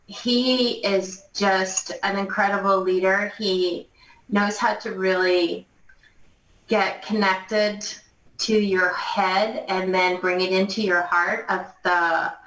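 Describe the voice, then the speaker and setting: A woman's voice: medium pitch, fairly even intonation, slow, a bit bright. Gushing middle-aged woman, echoey distant mic. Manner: gushing, emphatic. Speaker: company distributor giving testimonial. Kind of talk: unscripted enthusiastic testimonial.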